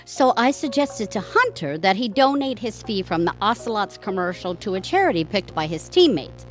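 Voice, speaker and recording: A woman's voice: medium pitch, sing-song, normal speed, faint music behind, close to an everyday voice. A woman playing a wry, bossy, scheming wife in a comic audio play, with a dry, sardonic manner. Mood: exasperated. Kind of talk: comic audio theatre acting.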